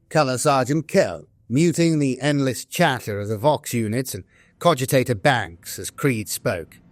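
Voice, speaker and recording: A grave staff officer from a far-future war audio drama, played by a man, with a mid-pitched voice. He delivers journals and briefings in a formal, solemn, measured way. The sound is close and dry, with faint music underneath. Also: no room sound, lightly acted. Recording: music behind a studio voice.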